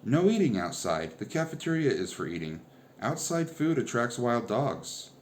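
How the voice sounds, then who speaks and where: A man's voice: medium pitch, big pitch swings, normal speed, a little hiss or hum, pitched above the performer's own voice, lightly acted. A man voicing a stern school official in a storybook reading, laying down rules curtly. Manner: curt, rule-bound.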